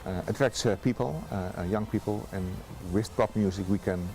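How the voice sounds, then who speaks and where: A middle-aged man with a Dutch accent, a festival manager interviewed outdoors in a park, speaking haltingly with many fillers. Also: on the low side, dark tone, soft background music.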